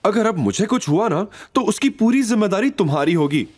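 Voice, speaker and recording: A man voicing an innocent, excitable young woman with an expressive girlish delivery in an audio drama. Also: high voice, brisk, voice pushed up. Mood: playful, agreeing.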